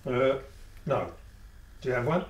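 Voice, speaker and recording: A man's voice: medium pitch, expressive, a little slow, lightly acted. A hapless, hesitant would-be bandit, played by a man in a homemade radio play. He falters and sounds unsure of himself. The voice is echoey and picked up from some distance. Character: hapless timid male bank robber. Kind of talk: amateur radio play acting. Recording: echoey room, off mic.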